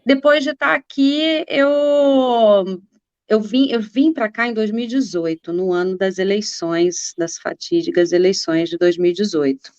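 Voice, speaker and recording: Woman in her 40s, rambly chat full of fillers, smooth voice, dry dull sound. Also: mid-range voice, unhurried, darkish tone, no room sound. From Brazil. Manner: rambling, many fillers and restarts. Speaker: guest, political activist. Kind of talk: casual interview storytelling.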